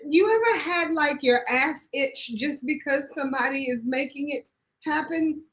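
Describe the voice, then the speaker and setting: High-pitched, sassy woman from D.C. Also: a bit bright, poor audio. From Washington, D.C. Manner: sassy, mock-indignant, quick comebacks. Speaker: co-host. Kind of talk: casual playful banter with a co-host.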